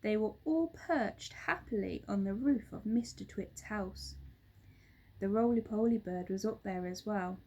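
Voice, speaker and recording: A woman reading a children's story aloud in an expressive narrating style. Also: medium pitch, a little slow, slightly rough. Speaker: storybook reader, teacher.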